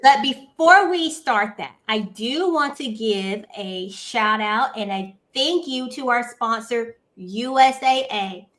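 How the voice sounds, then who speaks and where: A woman's voice: a little high, slow, hardly any pauses. A woman in her forties hosting a casual online talk show from home over a video call, playful and chatty. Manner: lively, playful, Southern-style "girl" and "y'all" banter. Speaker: podcast host. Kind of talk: casual livestream chat.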